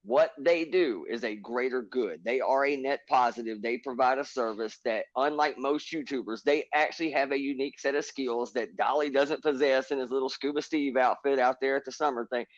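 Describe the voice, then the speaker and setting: A man's voice: medium pitch, brisk, few pauses, no echo. Man ranting hard on a lo-fi stream. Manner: emphatic, profane, lecturing rants. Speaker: livestream panelist. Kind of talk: heated panel chat. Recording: dull lo-fi stream audio.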